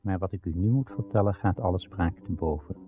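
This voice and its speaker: A man narrating a radio play of old legend in a grave, measured, solemn storytelling style with dramatic pauses. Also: low voice. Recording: muffled, narrow-band old radio recording.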